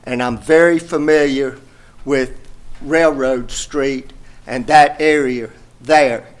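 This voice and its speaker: A man making a deliberate, emphatic public comment at a podium microphone in a county meeting room. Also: on the high side.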